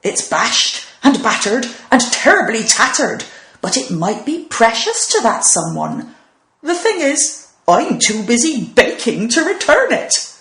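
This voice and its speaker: A hearty, burly pirate turned baker played by a woman blusters through a storybook tale. He speaks slowly and emphatically, with swooping pitch, in an echoey room. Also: big pitch swings, a bit bright, heavily acted. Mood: blustery. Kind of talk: children's picture book character voice.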